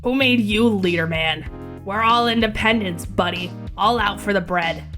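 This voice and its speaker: Man acting motion comic young flyer, American accent, cocky, slangy, hot-headed, argumentative, loud music behind. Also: sits high, moderate pace. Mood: indignant. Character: brash, hot-tempered young American male pilot.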